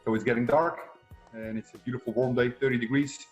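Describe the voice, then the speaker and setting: A man's voice: medium pitch, steady tone, brisk, faint music behind, low-quality recording. A middle-aged man from the Netherlands with a Dutch accent, talking conversationally as an upbeat coach being interviewed. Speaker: guest, success coach and entrepreneur.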